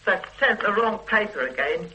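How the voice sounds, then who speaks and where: An actress playing a fussy, complaining wife confined to bed with a bad back, in a radio drama. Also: female voice, mid-range voice, animated, normal speed, bright, forceful tone, low-quality recording. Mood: peevish. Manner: fussy, petulant and demanding. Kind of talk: 1970s radio drama acting. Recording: old radio recording with faint hiss.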